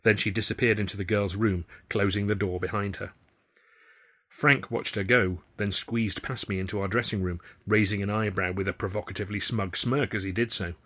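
A man reading his own novel aloud in the first person, with a wry, lively storytelling manner. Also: lowish voice, normal speed. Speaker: author and narrator. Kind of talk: audiobook narration, first person.

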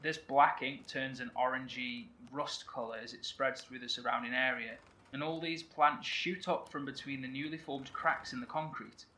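An actor playing a man survivor in an audio horror drama, telling a story in a vivid, animated way. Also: mid-range voice, normal speed, close to an everyday voice. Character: man survivor describing a strange void. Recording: roomy echo, faint ambience.

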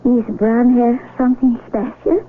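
Innocent young villager, played by a woman, earnest and proud, fairly high voice, tinny narrow-band radio recording. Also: flat delivery, normal speed, natural voice. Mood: gentle curiosity. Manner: simple, formal, earnest phrasing. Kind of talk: radio drama acting. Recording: lo-fi, noisy old radio broadcast.

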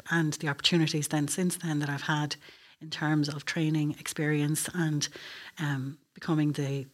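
Middle-aged woman, Irish accent, flat intonation, earnest, explanatory, conversational interview answers. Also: low voice. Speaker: air traffic control officer, interview guest.

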